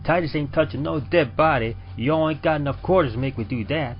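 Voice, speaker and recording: A man voicing an indignant, superstitious older train porter with a folksy, excitable Southern accent in an old-time radio drama. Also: a little high, fairly quick, pitched above the performer's own voice, close to an everyday voice. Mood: frightened, excited. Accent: American South. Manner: folksy, dialect-heavy, excitable. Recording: dull lo-fi audio under music.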